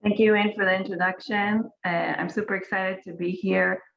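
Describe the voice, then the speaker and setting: A woman's voice: mid-range voice, level tone, normal speed, vocal fry. A woman with an Israeli accent, Hebrew her first language, eagerly telling her story as a winemaker on a webinar panel over a video call. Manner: friendly, eager, with small restarts. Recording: lo-fi webinar audio.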